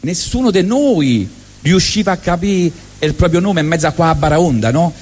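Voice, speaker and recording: A man with a Roman accent telling a comic monologue on stage, lively and full of asides. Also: on the high side, moderate pace. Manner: lively, jokey, chatty asides. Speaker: storyteller on stage. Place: live stage at a benefit evening.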